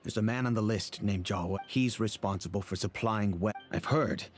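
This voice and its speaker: A man acting a grave middle-aged father in a video game, speaking in a measured, formal and earnest way. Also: lowish voice, a little hiss or hum, lightly acted. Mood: solemn, regretful. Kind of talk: scripted game dialogue, measured storytelling.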